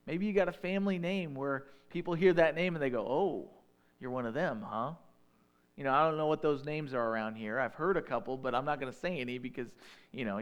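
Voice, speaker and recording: A man in his thirties with a Northern Illinois accent, preaching a sermon in a relaxed, conversational way with folksy asides. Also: medium pitch, clear, resonant tone. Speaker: pastor.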